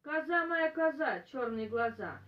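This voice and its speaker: Woman as loud singsong grandfather, high-pitched. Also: animated, a little slow, lo-fi sound. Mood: fond, questioning. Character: old grandfather questioning his goat, fond and singsong. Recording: roomy, distant camera microphone.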